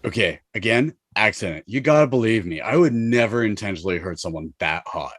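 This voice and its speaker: A man's voice: on the low side, expressive, brisk, a bit bright, dry recording, studio quality. A man in an amateur table read playing a defensive, shallow bro of a ghost. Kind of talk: amateur table read acting over video call.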